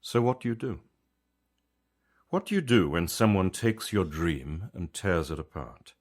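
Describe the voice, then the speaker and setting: Male author-narrator, low voice, reflective conversational storytelling, measured delivery. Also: sing-song. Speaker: author reading own story.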